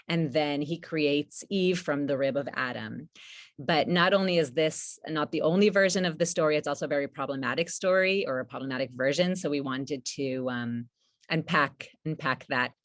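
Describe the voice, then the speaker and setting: A woman co-hosting a scholarly panel, introducing and explaining in a halting, searching way. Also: low-pitched, dry recording, clean studio sound. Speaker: artist co-hosting a panel event.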